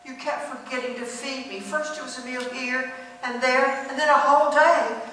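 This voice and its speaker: A woman's voice: sits high, fairly even intonation, moderate pace, close to an everyday voice. A woman voicing a hungry, neglected talking cat in a radio play, coolly accusing and sly, with dry, pointed delivery. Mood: wry, aggrieved. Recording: echoey hall from far off, faint hum.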